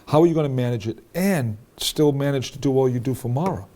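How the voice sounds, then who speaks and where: A man's voice: medium pitch, fast, mellow. A man hosting a local cable interview, steering with leading questions and checking facts with his guest. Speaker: talk show host.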